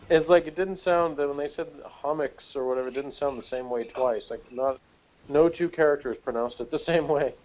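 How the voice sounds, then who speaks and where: A man's voice: fairly high pitch, moderate pace, a little mellow. A man chatting casually on a review panel over a video call, with an amused, digressive, trivia-minded way of talking. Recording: dull lo-fi video call audio.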